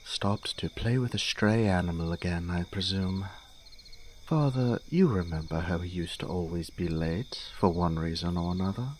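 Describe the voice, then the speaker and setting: A man's voice: low voice, normal speed, a little mellow, a little hiss or hum, lightly acted. A man playing a teasing young nobleman in a fantasy audio drama, speaking in a formal, archly polite manner. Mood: polite, needling. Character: teasing, urbane young nobleman, elder brother in fantasy court.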